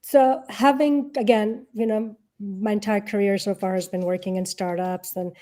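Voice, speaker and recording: A woman's voice: mid-range voice, fairly even intonation, moderate pace, mellow. A middle-aged woman giving casual interview answers in a conversational way, often voicing imagined quotes to make her points. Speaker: interview guest, tech product leader and investor.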